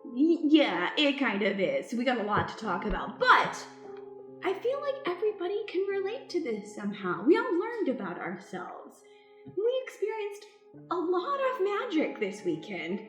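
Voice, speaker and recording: A woman's voice: high-pitched, big pitch swings, normal speed. A woman voicing a flustered, sincere hotel owner in an improvised comedic radio play. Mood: dramatic, hurt. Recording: roomy echo with music underneath.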